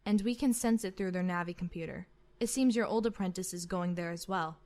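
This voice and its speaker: A woman playing a formal, measured, calculating admiral of an evil empire in a fan-made sci-fi roleplay. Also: on the low side, darkish tone, natural voice. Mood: cool, calculating. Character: coolly calculating female Imperial admiral.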